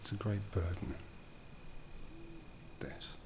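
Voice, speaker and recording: A man voicing an ancient, aristocratic vampire lord in a breathy half-whisper for an ASMR roleplay, menacing, world-weary and condescending, with deliberate theatrical phrasing. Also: background noise, close to an everyday voice. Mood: brooding, weary.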